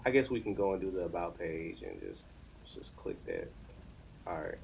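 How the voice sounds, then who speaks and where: A man in his thirties with an American accent talks in a very deep, creaky voice. He thinks aloud informally, as if chatting to a live stream while busy with a task. Noticeable background noise and room ambience sit behind him. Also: wildly swooping pitch, normal speed, a little mellow, rough recording. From United States. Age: 30s. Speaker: live streamer learning web development. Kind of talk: casual live coding stream chat.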